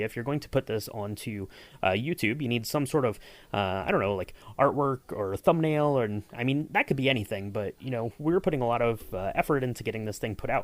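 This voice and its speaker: A man co-hosting a podcast, chatting casually and rambling with lots of fillers and restarts. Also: lowish voice. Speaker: podcast co-host. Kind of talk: casual behind-the-scenes chat.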